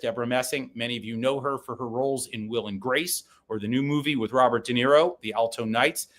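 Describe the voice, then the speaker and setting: Guy reading news headlines, kinda high voice, quick opinionated asides. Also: a bit bright. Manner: brisk, opinionated commentary. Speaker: podcast host reading headlines. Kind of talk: news brief with personal asides.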